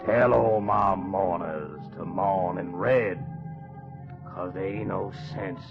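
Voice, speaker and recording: A man's voice: deep voice, takes it slowly. A man acting a wry dead man reciting his own epitaph in a radio drama. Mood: wry, mournful. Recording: muffled old radio recording, music behind.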